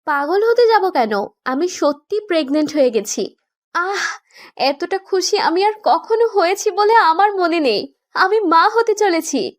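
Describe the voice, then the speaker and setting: A woman's voice: extremely high pitch, sing-song, a little slow, no echo, voice pushed up, exaggerated voice. A woman voicing an affectionate, playful young wife in a romantic audio drama, doting and teasing. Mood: excited.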